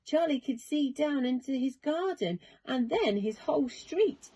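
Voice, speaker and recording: Slow, expressive woman reading bedtime stories. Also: high voice, low-quality recording. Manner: expressive, measured, dramatic. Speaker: storyteller. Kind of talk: children's bedtime story narration.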